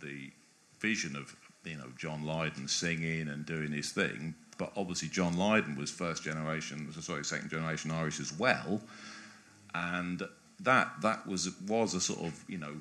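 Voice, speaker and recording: Very deep-voiced man, halting interview guest. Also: bright, forceful tone. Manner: halting, restarts phrases often. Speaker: guest biographer. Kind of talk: discursive interview answers.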